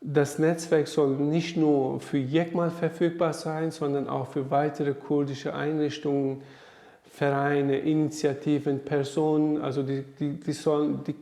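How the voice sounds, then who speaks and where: A man's voice: fairly high pitch, flat delivery, a little slow, dark tone, roomy sound. A man giving an interview statement, hesitant and repeating words as he explains. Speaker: association staff member.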